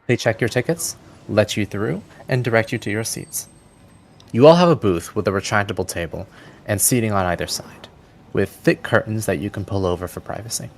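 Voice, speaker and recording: A man's voice: lowish voice, a little fast. A man acting as game master, narrating scenes descriptively for a tabletop game. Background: background music.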